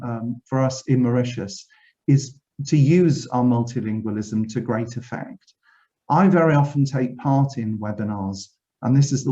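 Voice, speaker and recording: A man's voice: medium pitch, dark tone, lo-fi sound. A man from Wales with a Welsh accent, giving an earnest, reflective webinar address as a university director general, often restarting his phrases. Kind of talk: formal speech. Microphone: video call.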